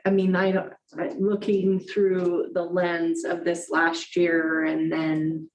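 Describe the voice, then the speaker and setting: A woman's voice: lowish voice, a little mellow. A woman on a school board thinking aloud with many fillers, giving her view at a meeting. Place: large meeting room. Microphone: desk microphone from afar. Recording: echoey room, distant mic, faint hiss.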